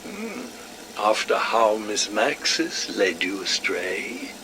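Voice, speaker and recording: An actor playing a fussy, wry scientist with a formal, pedantic manner in an audio drama. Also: male voice, lowish voice, sing-song, slow pace, background noise, heavily acted. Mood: dry, needling. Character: fussy, wry, verbose male scientist, smugly playful.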